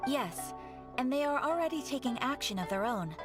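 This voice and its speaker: Prim polite guild clerk, kinda high, music under it. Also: female voice, very animated. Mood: formal. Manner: polite, measured, formal. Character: formal adult woman guild receptionist. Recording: game audio with music behind.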